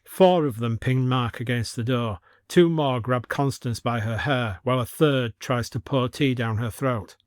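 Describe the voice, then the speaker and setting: A man's voice: mid-range voice, unhurried, hardly any pauses, no echo, studio quality. A man narrating a scripted supernatural story.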